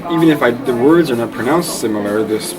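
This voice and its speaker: A young man from Eastern Canada chatting casually in an interview, relaxed and rambling with lots of fillers. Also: mid-range voice, fast talker. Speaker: interview guest. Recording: loud crowd noise behind.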